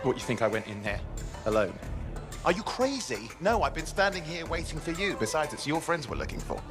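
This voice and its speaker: An excitable young male sidekick in a video game. His voice sits high and swings around in pitch as he chats away with dramatic sarcasm. Soft music runs underneath. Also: fairly quick. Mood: sarcastic. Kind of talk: video game cutscene acting.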